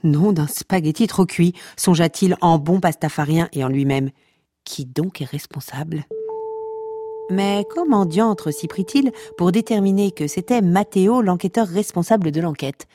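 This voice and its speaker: An actress playing a droll narrator of a comic saga, wry and mock-solemn, speaking straight to the listener. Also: female voice, lowish voice, animated, unhurried, mellow, no room sound. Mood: wry, pedantic. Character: droll, mock-epic female storyteller of a pasta-cult saga.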